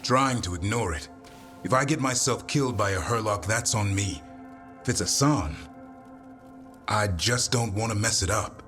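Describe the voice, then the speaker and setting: A grizzled griffin keeper from a fantasy game , acted by a man, with a low voice. He speaks in an earnest, measured way, like a reflective soldier. Music plays clearly behind him. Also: fairly bright tone, natural voice. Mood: reflective, troubled. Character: grizzled, earnest adult male griffin-keeping warrior. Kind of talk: video game dialogue acting.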